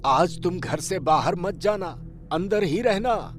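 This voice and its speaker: A man voicing a caring old village headman and grandfather in a cartoon story, measured, earnest and expressive. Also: sits high. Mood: tender, concerned. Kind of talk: Hindi cartoon story voice acting. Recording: faint music behind.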